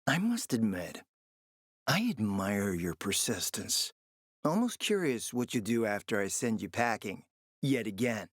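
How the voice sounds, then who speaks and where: A man playing a suave, flirtatious, scheming spymaster in a video game, with a smooth, theatrical, sardonic and teasing manner. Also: fairly low pitch, sing-song, unhurried, no echo, clean studio sound. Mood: flirtatious, smug. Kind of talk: video game character acting.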